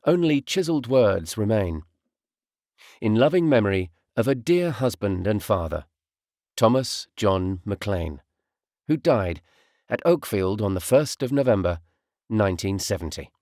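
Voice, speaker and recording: Male narrator with an expressive, lively delivery. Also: fairly low pitch, a little slow, no echo, clean studio sound. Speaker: audiobook narrator, voice artist. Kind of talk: literary first-person audiobook narration.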